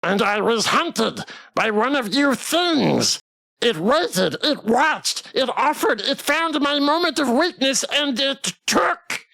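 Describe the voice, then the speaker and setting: A woman voicing a bitter, menacing living toy that was once human, with a scornful, halting delivery in a dramatic visual novel. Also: high voice, lively intonation, gravelly, fairly bright tone, no room sound, exaggerated voice. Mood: haunted, bitter.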